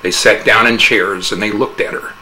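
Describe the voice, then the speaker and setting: A man narrating a humorous fable as a dramatic oral interpretation, with theatrical, measured storytelling. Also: on the low side, steady tone, pushed and bright, a little hiss or hum, low-quality recording.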